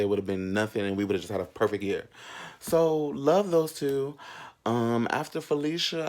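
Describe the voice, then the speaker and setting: A young American man with a voice a little on the low side hosts a casual vlog. He chats straight to his viewers as if swapping stories with friends. His delivery is sassy and gossipy, playful and full of slang. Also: darkish tone. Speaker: vlog host. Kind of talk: casual chatty storytelling to viewers.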